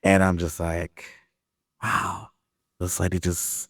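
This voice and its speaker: A man's voice: low voice, takes it slowly, dry recording, studio quality. A man from Chicago, guest on an interview podcast, chatting casually and acting out little quotes as he talks. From Chicago, Illinois. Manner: animated, laughs often, acts out quotes. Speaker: actor guest.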